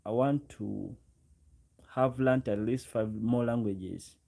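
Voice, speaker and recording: A man's voice: medium pitch, slow, vocal fry, warm tone. A man in his twenties with a Ugandan accent, chatting casually on a livestream, speaking directly to viewers and often repeating his phrases. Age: 20s. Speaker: live streamer. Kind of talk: casual livestream chat, reading and answering comments.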